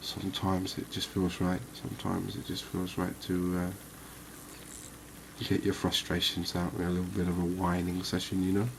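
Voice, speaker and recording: A man with a low-pitched, flat voice talks through a game as its host. He is unhurried and conversational, musing in an easy ASMR commentary style. Clear background noise sits behind him. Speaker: gaming video host. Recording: clearly noisy background ambience.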